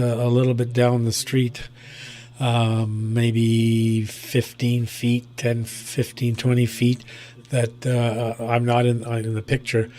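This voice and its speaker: A man chats casually as an amateur radio operator. His voice sits at an average pitch with fairly level intonation. He meanders through what he says, pausing to find words. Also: a little mellow. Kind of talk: casual chat over ham radio.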